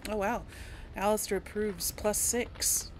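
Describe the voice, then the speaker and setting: A woman's voice: medium pitch, fairly even intonation, measured pace, a little mellow, a little hiss or hum, low-quality recording. A woman hosting a casual role-play let's play, chatting to her audience as she plays. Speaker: host of a role-play game series.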